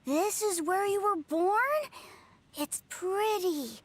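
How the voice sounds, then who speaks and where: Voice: very high voice, slow pace. A performer voicing a sweet, sickly little orphan boy who coughs in a game. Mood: innocent.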